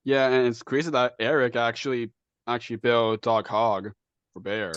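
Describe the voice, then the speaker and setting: A man's voice: medium pitch, steady tone, normal speed, fairly bright tone, clean tone, dry recording, muffled recording. A man interviewing a guest in a casual, conversational way as a podcast host.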